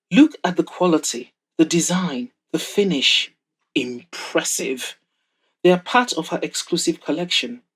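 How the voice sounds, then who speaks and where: A woman's voice: low voice, breathy edge, heavily acted. A woman voicing a proud, wry, wealthy older mother of a grown son in an audio drama, teasing and frank as she advises. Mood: proud, admiring.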